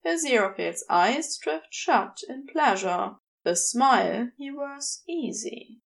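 A woman narrating a scripted story as an audiobook reader, in a measured delivery. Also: fairly high pitch, expressive, vocal fry. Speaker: audiobook reader of fan fiction.

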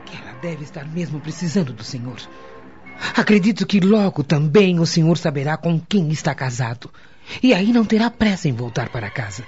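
An actress playing a bitter, sarcastic widow bent on revenge in a radio soap opera. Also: female voice, deep voice, animated, a little fast. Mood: scornful. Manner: sarcastic, insolent. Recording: muffled audio with music behind.

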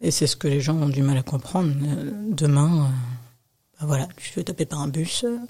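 A woman's voice: deep voice, fairly even intonation, brisk, dark tone, breathy edge. A woman with an accent from France, a guest author answering interview questions in a candid, emphatic and informal conversational way. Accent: French from France.

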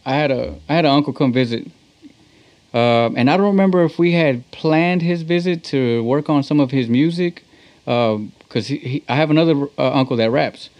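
A man talks casually as an interview guest. His speech is slangy and conversational, at a middling pitch, and the audio is slightly dull and muffled. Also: a little mellow. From Texas. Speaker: interview guest, talk box musician. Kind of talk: casual chat.

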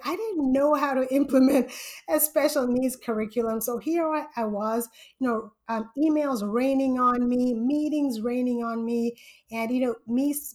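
A woman from Zambia, a guest on a podcast, telling her story in a lively way and laughing often. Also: on the high side. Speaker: podcast guest, full-time stock trader. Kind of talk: casual interview storytelling.

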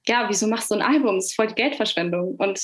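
A woman's voice: medium pitch, fast talker. A young woman from Germany with a Franconian German accent, talking casually as a musician guest on a podcast, with lots of fillers and easy laughs. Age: young adult. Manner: chatty, frequent fillers, laughs easily. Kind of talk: casual interview chat.

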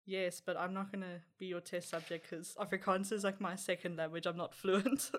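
A woman's voice: lowish voice, little pitch movement, moderate pace. A young woman with a South African accent answering interview questions in a chatty way, laughing lightly now and then. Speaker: interview guest.

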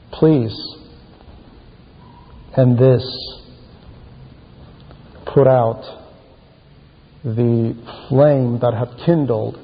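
A man's muffled voice rings through a long hall reverb. He preaches at an unhurried pace, breaking his thoughts into short, emphatic phrases. The delivery is that of a homily told as a story. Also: medium pitch. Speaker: preacher reading a translated homily. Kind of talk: sermon, retelling Bible stories.

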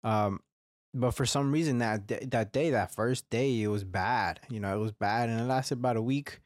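A man's voice: medium pitch, moderate pace, no room sound, studio quality. A young man hosting a solo podcast, rambling and swearing a lot, with a slightly congested, phlegmy throat. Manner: rambling, heavy swearing, frequent restarts. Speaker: podcast host. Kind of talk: casual solo podcast monologue.

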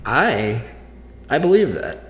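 A man's voice: medium pitch, huge pitch swings, takes it slowly, a bit bright. A man co-hosting a podcast in casual, informal, agreeable chat, with reverb on the recording. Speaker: podcast co-host. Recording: echoey reverb, faint music.

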